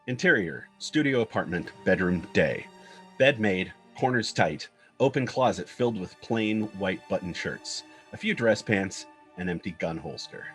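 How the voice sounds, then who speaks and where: A man's voice: deep voice, animated, unhurried. A man reading screenplay stage directions as narrator, in short clipped scripted phrases. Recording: guitar music bed under the voice.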